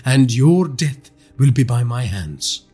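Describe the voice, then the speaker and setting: A man voicing a determined, angry woman in an audiobook, speaking with forceful defiance. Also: medium pitch, expressive, slow pace, husky, faint music behind. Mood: vengeful resolve. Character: determined, furious woman vowing revenge on her tormentor.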